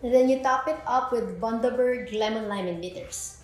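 A young woman guides viewers through a recipe step by step. Her voice sits fairly high. The room is strongly echoey, and music plays behind her. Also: moderate pace. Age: 20s. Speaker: host of a drink recipe video. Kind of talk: scripted step-by-step tutorial presenting. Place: indoor kitchen with bare walls.

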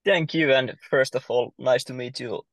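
A man's voice: mid-range voice, normal speed, fairly bright tone, no echo. A young man with a Finnish accent, introducing himself casually on a video call from inside a car. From Finland. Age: young adult. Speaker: co-owner of a painting company. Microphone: phone video call.

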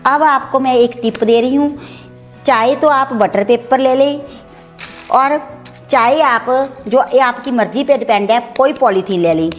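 A woman's voice: on the high side, measured pace, soft background music. A middle-aged woman in her home kitchen, chattily explaining each step of a recipe as she cooks. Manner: chatty, explanatory, mixes English words. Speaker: cooking channel host. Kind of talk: home cooking demonstration.

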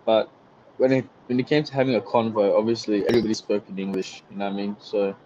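A young man with an Australian accent, a guest chatting casually on a video call, relaxed and rambling. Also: fairly low pitch, fast, a little hiss or hum. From Singapore. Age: young adult. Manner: relaxed, rambling with many likes and you-know-what-I-means. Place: small bright room indoors. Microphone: headset. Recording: dull lo-fi video call audio.

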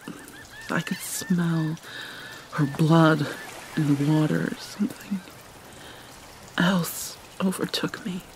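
A woman playing an anguished, proud vampire mermaid princess in a dramatic ASMR roleplay, soft, breathy and halting, often near a whisper. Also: low voice, a little hiss or hum. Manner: halting, theatrical, mournful. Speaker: roleplay voice actor.

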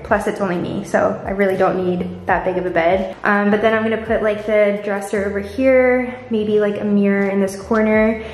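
A young woman in her twenties chatting bubbly and casually to a handheld camera, peppering her talk with fillers as she films in an empty apartment. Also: medium pitch. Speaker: vlogger. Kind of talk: casual vlog apartment tour. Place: empty apartment with bare walls and hard floors. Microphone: handheld camera at arm's length. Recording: echoey empty room.

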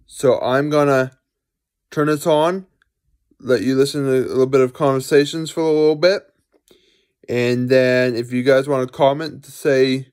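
A young adult man giving a casual, unscripted gadget demonstration, halting and often repeating himself. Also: mid-range voice, a little mellow. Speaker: amateur vlogger showing gadgets. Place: small furnished bedroom indoors. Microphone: phone or camera at arm's length.

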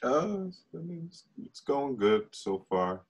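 A man's voice: mid-range voice, sing-song, takes it slowly, slightly rough. A young man chatting casually with friends on a video call. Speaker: actor at a table read. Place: dim room indoors, on a video call.

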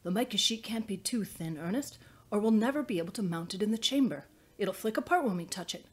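A woman's voice: mid-range voice, animated, fairly quick, breathy edge. Woman doing an arguing lab assistant guy from a novel, practical and insistent. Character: argumentative male research assistant, practical scientist.

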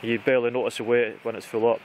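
Casting: British male outdoor vlogger, mid-pitched voice, informal and chatty, talking through kit in a noisy outdoor recording. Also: level tone, quick pace. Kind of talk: casual gear walkthrough to camera. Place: outdoors in conifer woodland. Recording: outdoor recording with clear background noise.

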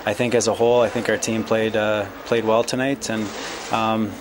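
A man's voice: fairly low pitch, little pitch movement, background noise. A man in his thirties, a hockey player, giving a hesitant post-game interview into a handheld mic in a corridor. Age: 30s. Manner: hesitant, slow to form sentences. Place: small indoor arena corridor.

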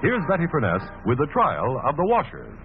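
A 1950s American TV announcer, a man with a fairly high voice and hugely swooping intonation. He comes through a thin, tinny old soundtrack with music playing. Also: huge pitch swings, a little fast. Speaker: television announcer. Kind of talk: 1950s television commercial announcing.

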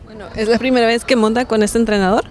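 A woman's voice: fairly high pitch, fairly even intonation, quick pace. A woman reporter asking interview questions off camera at a racetrack, in a plain, friendly way with a few fillers. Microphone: handheld mic held to guests, off camera.

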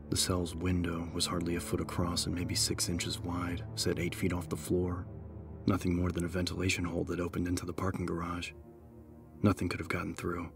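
A man narrating an audiobook in a measured, solemn, scripted dramatic style. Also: sits low, creaky, fairly bright tone.

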